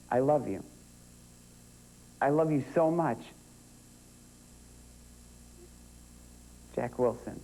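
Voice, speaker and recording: A young man acting a grieving son in an earnest, halting, emotional stage monologue addressed to his lost father. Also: mid-range voice, dark tone, a little hiss or hum, natural voice, poor audio. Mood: tearful love.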